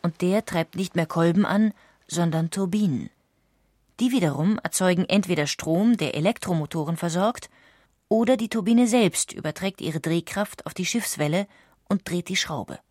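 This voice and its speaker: A woman narrating a history audiobook in a calm, even-paced reading style. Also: on the low side, moderate pace, no echo, clean studio sound. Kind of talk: scripted documentary narration.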